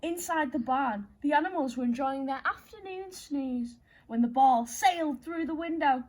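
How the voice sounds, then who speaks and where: Female children's storytime host, high-pitched, theatrical and playful storyteller. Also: normal speed, darkish tone. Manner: playful, theatrical, addresses young listeners directly. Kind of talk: animated children's story reading.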